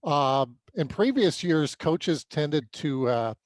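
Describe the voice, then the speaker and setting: Higher-pitched male sports podcast host, dry sound. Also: very animated, slow, no room sound. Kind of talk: casual interview questions.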